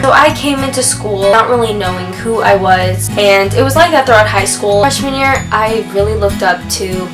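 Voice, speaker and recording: A raspy-voiced young woman, chatting upbeat with lots of "like" fillers, answering questions in a sit-down vlog at home. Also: medium pitch. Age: young adult. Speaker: YouTuber. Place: indoors at home, sitting on a yoga ball.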